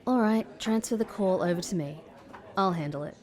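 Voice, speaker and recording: A woman playing a composed, wry former agent working in a call centre in an expressive audio drama. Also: medium pitch, moderate pace, roomy sound, some chatter behind, close to an everyday voice. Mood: polite, professional. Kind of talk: audio drama narration and dialogue.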